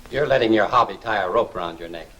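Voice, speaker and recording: An actor as a fast-talking, loyal male sidekick. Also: lowish voice, fairly bright tone, natural voice, poor audio. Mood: worried. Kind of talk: 1940s film serial acting. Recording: hissy 1940s film soundtrack.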